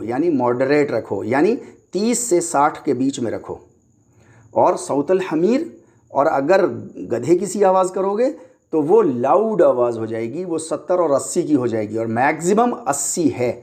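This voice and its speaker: A man's voice: on the high side, a little mellow. A man lecturing on religion in a didactic way, explaining step by step and repeating key points for emphasis.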